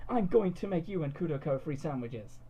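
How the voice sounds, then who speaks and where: A performer voicing a muscle-bound, sentimental, lovestruck sandwich shop guy in a chummy, overwrought, halting way. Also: mid-range voice, lively intonation, dark tone, husky, pitched above the performer's own voice. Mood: pained, lovestruck.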